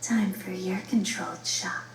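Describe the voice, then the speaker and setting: An actress voicing a menacing, sadistic female animatronic in a video game, breathy and creaky. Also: fairly low pitch, fairly even intonation, a little slow, roomy sound, recorded from a distance, lightly acted, low-quality recording. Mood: cold menace. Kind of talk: video game character voicelines.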